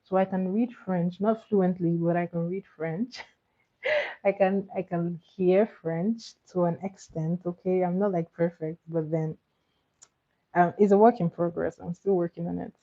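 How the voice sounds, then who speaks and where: A woman with Igbo as her chats easily with her livestream viewers in a lowish voice, giggling and doubling back on her words. The sound is dull and lo-fi, like a home stream with a basic mic. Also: fairly even intonation, measured pace, dark tone. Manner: chatty, giggly, repeats words. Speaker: livestream host. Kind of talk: casual chat with viewers.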